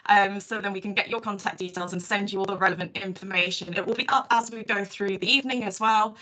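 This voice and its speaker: Woman, webinar host, bright encouraging voice, on a video call. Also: medium pitch, fairly even intonation, quick pace, low-quality recording. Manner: friendly and encouraging. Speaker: event host. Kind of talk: casual webinar talk.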